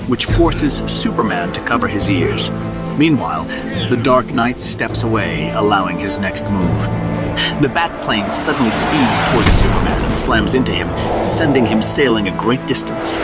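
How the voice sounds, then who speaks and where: A man narrating an audio drama in a dramatic, scripted style. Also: lowish voice.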